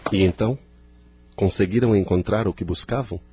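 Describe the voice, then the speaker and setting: A man's voice: fairly low pitch, normal speed, warm tone, husky. A man with a Brazilian accent playing a grave, knowing spirit researcher in an audio drama, emphatic and formal.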